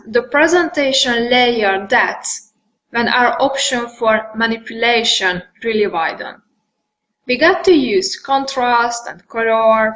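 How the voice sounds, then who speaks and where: A woman's voice: a little high, fairly bright tone, low-quality recording. Woman with a Hungarian accent presenting. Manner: earnest, occasional hesitations. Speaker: conference presenter. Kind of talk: presentation with audience Q&A.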